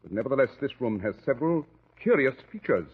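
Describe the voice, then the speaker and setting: A thin, tinny vintage radio recording carries a gentleman detective. He is urbane and dryly ironic, with a husky voice that moves widely in pitch, in 1940s drama style. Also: very animated, fast talker. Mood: keen, inquisitive. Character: shrewd, unruffled gentleman detective, dryly witty.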